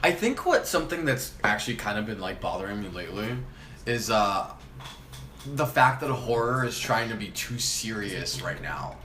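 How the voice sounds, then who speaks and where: Man, bright and lively, emphatic podcast co-host, echoey room. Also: fairly low pitch, normal speed. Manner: expressive, emphatic, swears casually. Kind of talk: casual chat among friends. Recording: echoey room with faint ambient noise.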